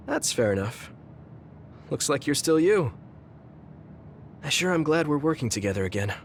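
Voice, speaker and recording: A man's voice: on the high side, sing-song, a little slow, long pauses, a little hiss or hum. An actor voicing an earnest, kind, easygoing young man soldier in a video game, speaking in a friendly, sincere way. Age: young adult. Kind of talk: video game voice acting, story cutscene dialogue. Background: background music.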